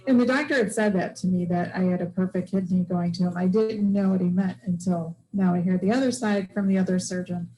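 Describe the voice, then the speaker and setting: Brief: middle-aged woman, warm mellow tone, chatty and halting, sharing news at a meeting. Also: fairly low pitch, steady tone, low-quality recording. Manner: chatty, halting, many ums. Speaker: club member sharing news. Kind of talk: casual personal story. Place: club meeting room. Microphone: handheld microphone.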